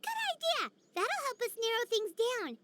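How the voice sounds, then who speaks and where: A woman voicing a tiny, excitable female fairy companion in a video game, chirpy and exclamatory. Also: extremely high pitch, moderate pace, noisy background. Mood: eager, concerned. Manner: exclamatory, chirpy, speaks of herself in third person. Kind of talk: animated video game voice acting.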